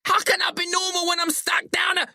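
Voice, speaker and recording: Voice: very high-pitched, monotone, a little fast, pushed and bright, dry recording, raised voice, studio quality, pitched above the performer's own voice, heavily acted. A narrator voicing a frightened, tearful boy who gasps and shrieks, in an audiobook story. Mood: tearful, shrieking. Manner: shrieking, gasping, sobbing.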